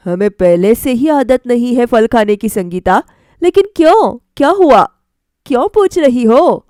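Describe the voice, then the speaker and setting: A woman voicing a reluctant but affectionate young husband in a storybook, hesitant and gently refusing. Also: a little high, lively intonation, a little slow, dry recording, studio quality.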